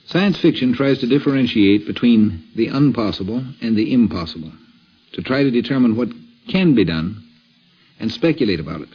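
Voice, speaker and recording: A man explains his terms emphatically as an expert commentator. His mid-pitched voice is rough and very lively in melody, on a dull old radio recording. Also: normal speed.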